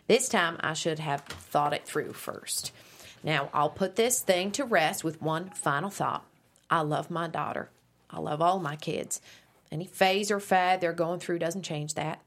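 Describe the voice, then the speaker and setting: An actress reading a conservative radio host and mother in a script table read, speaking firmly and earnestly. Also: on the low side.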